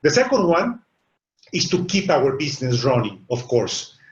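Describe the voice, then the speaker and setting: A middle-aged Mexican-accented man talks through his points on a webinar panel, earnest and repeating little words as he goes. His voice sits a touch high for a man. The sound comes over a video call from a roomy space, and the line is dull and lo-fi. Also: sing-song, moderate pace. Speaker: webinar panelist, business executive.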